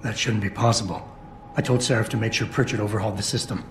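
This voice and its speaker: An actor playing a terse, brooding corporate security chief in a video game, speaking in a clipped deadpan. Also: male voice, fairly low pitch, fast talker, slight background noise, lightly acted. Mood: curt, businesslike. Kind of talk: video game cutscene acting. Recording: roomy echo, faint music behind.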